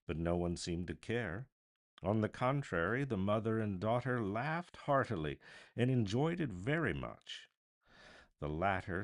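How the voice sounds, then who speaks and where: A man reading a classic story aloud as a voice artist, with theatrical, expressive narration. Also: fairly low pitch. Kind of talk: dramatic live audiobook narration of a classic novel.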